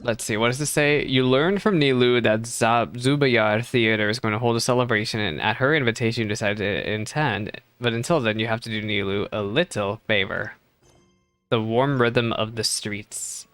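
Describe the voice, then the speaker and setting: A man's voice: medium pitch, normal speed, a bit bright, clean tone, no echo. A man streaming a game reaction from a temporary home setup on a desk mic, chatty and self-deprecating. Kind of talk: casual reaction stream commentary. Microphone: desk microphone.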